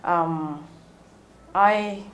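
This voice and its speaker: A woman in her early forties with a Ugandan accent, answering interview questions in a measured, explanatory way. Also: lowish voice, slight background noise. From northwestern Uganda. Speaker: interview guest, non-profit executive director.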